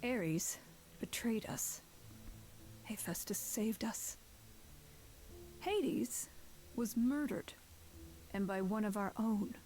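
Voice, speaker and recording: A woman playing a stern, weary goddess who leads the gods in a video game, speaking in a measured, formal way. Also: mid-range voice, warm tone, close to an everyday voice. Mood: solemn, urgent.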